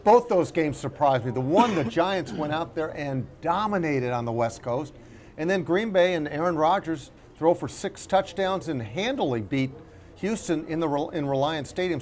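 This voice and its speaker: A man's voice: fairly high pitch, a little mellow, slight background noise. A man giving conversational sports commentary as a panelist on a show.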